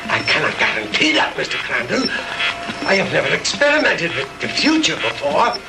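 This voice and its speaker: A fanatical scientist villain speaks in a fairly high, theatrical voice over loud music. He is formal and condescending, with a gravelly edge, like a mocking 1960s film madman. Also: wildly swooping pitch, moderate pace, full character voice, low-quality recording. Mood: coolly evasive. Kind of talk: 1960s B-movie acting. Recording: old film soundtrack, loud music behind.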